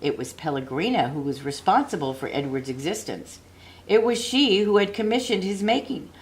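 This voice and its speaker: A woman narrating a children's story expressively, with measured, deliberate phrasing and dramatic emphasis on key words. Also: on the low side, animated, low-quality recording. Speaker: audiobook reader.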